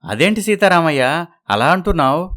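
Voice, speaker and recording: A man playing a hopeful, pondering middle-aged villager in an animated moral story. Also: a little high, fairly quick. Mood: musing. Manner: musing.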